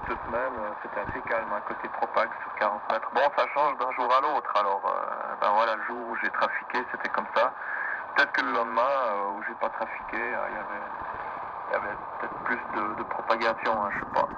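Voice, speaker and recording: Swiss french guy rambling on ham radio, halting casual chat, loud static, dull sound. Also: medium pitch, steady tone. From Switzerland. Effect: shortwave radio transmission. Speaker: amateur radio operator. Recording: very noisy, narrow-band shortwave radio reception.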